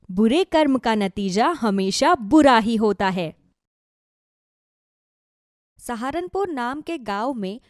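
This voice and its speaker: High-pitched woman narrating a moral story. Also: no echo, clean studio sound.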